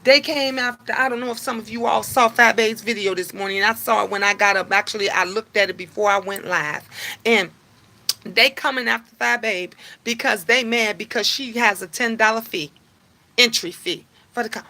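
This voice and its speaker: A woman, hosting her own livestream. She speaks in a fairly high voice and presses each point by repeating it. Her talk stretches out with long filler sounds between bursts of emphasis. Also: normal speed, sharp tone. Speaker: livestream host. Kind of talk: casual livestream rant.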